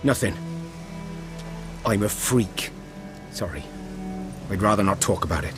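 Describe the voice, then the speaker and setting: A man's voice: on the low side, expressive, a little slow. A man playing a guarded, brooding outcast huntsman in a video game, reticent and plain in his rustic phrasing. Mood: guarded, bitter. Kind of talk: video game dialogue acting. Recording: game audio with music behind.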